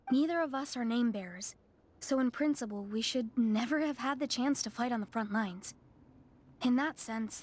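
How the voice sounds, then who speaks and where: A woman's voice: fairly high pitch, natural voice. A woman playing an earnest, modest young warrior in a video game, speaking thoughtfully.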